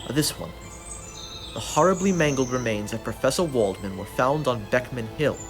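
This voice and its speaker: A man playing a loyal, probing best friend with measured delivery in an old-time radio drama. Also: medium pitch, lively intonation, soft background music, close to an everyday voice. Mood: concerned, probing.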